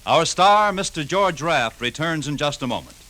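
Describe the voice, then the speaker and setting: A man's voice: fairly high pitch, animated, moderate pace. A man with an American accent reading scripted announcements in a 1950s radio announcer style. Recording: 1950s radio recording, faint music.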